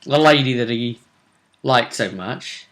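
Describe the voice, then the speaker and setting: A man's voice: medium pitch, slow pace, a bit bright. A man, hosting a vidcast and giving a chatty, casual recap of a tabletop role-play tournament. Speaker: vidcast host.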